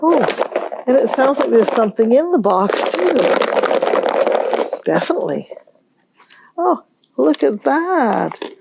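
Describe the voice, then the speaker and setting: A woman hosting a storytime for young children, reading aloud in a gentle, musing way and pausing to pose questions. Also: high-pitched, big pitch swings, creaky, noisy background. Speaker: children's library storytime host.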